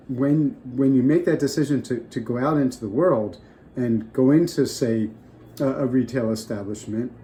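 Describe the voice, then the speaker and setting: A man's voice: medium pitch, dark tone. An older man getting over a cold, giving casual off-the-cuff advice with many restarts. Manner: rambling, restarts often, off the cuff. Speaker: vlogger. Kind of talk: casual advice talk to camera.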